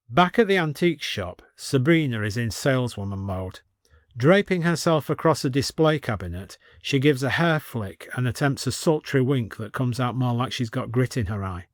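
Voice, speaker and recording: A man's voice: medium pitch, no echo, clean studio sound. A man reading scripted comic fiction as an audiobook narrator.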